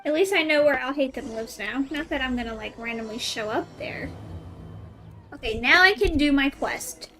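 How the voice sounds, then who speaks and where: A woman's voice: sits high, animated, moderate pace, fairly bright tone. A woman giving casual, chatty gameplay commentary as a streamer, playfully reacting aloud and talking to herself. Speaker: video game streamer.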